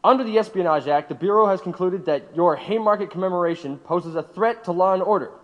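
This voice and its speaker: An actor playing a stern, formal federal agent interrogator in a period radio drama. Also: male voice, high voice, lo-fi sound, natural voice. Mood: officious, controlled. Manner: formal, measured, officious. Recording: reverberant hall-like room.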